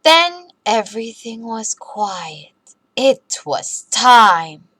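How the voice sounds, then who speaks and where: A woman reading a children's bedtime story aloud in an expressive, deliberate way for young listeners. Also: a little high, husky, no echo.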